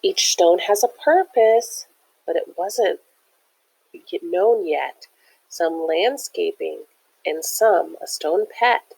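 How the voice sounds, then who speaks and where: A woman's voice: on the low side, takes it slowly, gravelly, a little mellow, slightly rough, poor audio. A woman reading a picture book aloud like a teacher, with chatty, encouraging asides that explain things simply to young listeners. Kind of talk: animated children's read-aloud with chatty asides.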